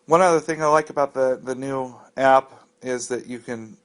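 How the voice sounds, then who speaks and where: A man's voice: medium pitch, moderate pace. An American man narrating a casual, unscripted app walkthrough in a conversational, explanatory and somewhat hesitant way. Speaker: app reviewer giving a walkthrough.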